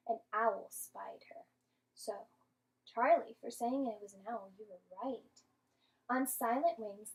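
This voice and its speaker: A woman teaching young children through an interactive read-aloud, speaking in clear instructional phrases. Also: on the high side, rough recording. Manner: clear instructional phrasing, questioning, sounding out syllables. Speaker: primary school teacher.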